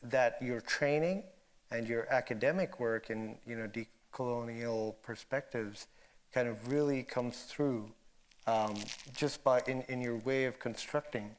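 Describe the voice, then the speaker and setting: A man's voice: mid-range voice, measured pace. A man moderating a film discussion, speaking thoughtfully in hesitant, broken phrases. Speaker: panel moderator. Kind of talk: thoughtful spoken commentary at a film Q&A.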